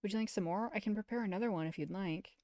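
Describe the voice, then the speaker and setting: A woman's voice: mid-range voice, very fast, mellow, no room sound, close to an everyday voice. A woman voicing a shy, awkward boy who is hesitant and earnest, in a visual novel. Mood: apologetic. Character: awkward high school boy, shy anime fan, apologetic. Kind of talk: visual novel dialogue read aloud.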